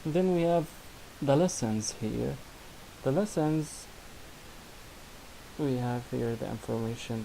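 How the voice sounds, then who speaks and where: Man, Syrian Arabic accent, non-native English, slow halting delivery, mellow tone, faint hiss. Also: medium pitch, gravelly, low-quality recording. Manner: halting, many restarts and fillers, non-native grammar. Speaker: student presenting a project. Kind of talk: screen-recorded software demo.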